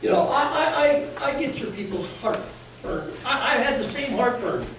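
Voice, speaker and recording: A man's voice: very high-pitched, expressive, moderate pace. An American man answering residents as an official at a community meeting, explaining things in a plainspoken, folksy way. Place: indoor community meeting room, recorded from afar. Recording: echoey room, distant mic, faint noise.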